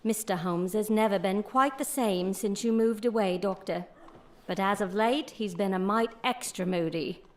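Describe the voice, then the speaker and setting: A woman's voice: mid-range voice, moderate pace, a little mellow, close to an everyday voice. Casting: woman for homely, talkative Victorian housekeeper, middle register, fond and familiar, radio-play style. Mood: confiding. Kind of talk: audio drama acting.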